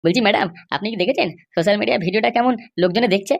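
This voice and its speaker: A woman voicing a flattering, spiteful political sidekick in a comedy cartoon, fawning and cocky. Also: mid-range voice, very fast, vocal fry, highs cut off.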